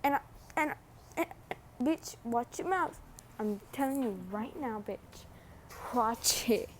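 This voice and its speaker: A young woman giving casual, slangy, sweary and teasing commentary as a gaming vlogger, with unhurried delivery. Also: a little high, expressive, a little hiss or hum.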